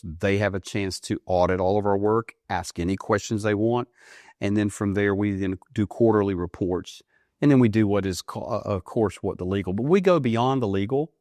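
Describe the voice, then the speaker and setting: A man in his fifties from the United States talks earnestly as an interview guest. His voice sits low, and he often rises in pitch to stress a point. The recording is dry and close, with no room sound. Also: dry recording. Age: 50s. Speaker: guest, ministry leader. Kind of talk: interview answers. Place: studio.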